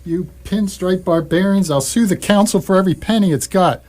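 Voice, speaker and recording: A man's voice: high voice, moderate pace, a little mellow. A man playing a flustered, indignant ordinary fellow who splutters and exclaims in a radio comedy. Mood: furious ranting. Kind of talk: radio comedy drama acting.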